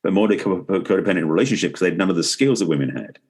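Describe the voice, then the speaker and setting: A man's voice: deep voice, lively intonation, rapid-fire, darkish tone, breathy edge. A middle-aged man explaining things conversationally as an expert guest in an interview, often rephrasing as he goes. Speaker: podcast guest, relationship expert and author.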